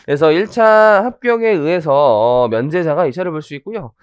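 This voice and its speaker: A hoarse man in his thirties giving a chatty orientation lecture on tax law, with joking asides and many fillers. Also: fairly high pitch, animated, fairly quick, a little mellow, no echo. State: hoarse from rhinitis.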